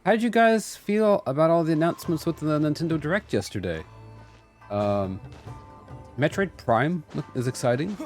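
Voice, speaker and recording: A man's voice: fairly high pitch, animated, moderate pace, a little mellow. A man giving casual live stream commentary as he plays a game and chats. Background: game music.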